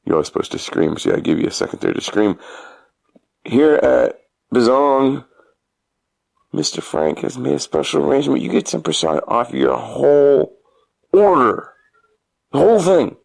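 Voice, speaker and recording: A man giving a mock-announcer podcast intro and sponsor plug in a halting, theatrical, absurdly comic manner. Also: medium pitch, lively intonation, creaky. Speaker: guest caller giving a show intro.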